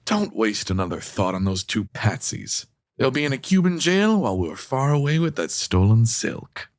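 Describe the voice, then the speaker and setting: An actor playing a smooth-talking, amused murderer and lover in a noir comedy radio drama. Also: male voice, medium pitch, sing-song, normal speed, lightly acted. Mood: soothing, smug. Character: smooth-talking, callous male killer and lover.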